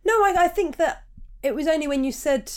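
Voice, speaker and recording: A woman's voice: high voice, brisk. A woman hosting a podcast, chatting with gushing enthusiasm over a video call. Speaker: podcast host. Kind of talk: casual interview chat.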